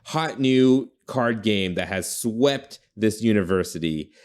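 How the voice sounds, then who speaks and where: A man's voice: on the low side, expressive, takes it slowly, clean tone. A man hosting a casual brainstorming chat, informal and often correcting himself as he thinks aloud. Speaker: podcast host pitching game ideas.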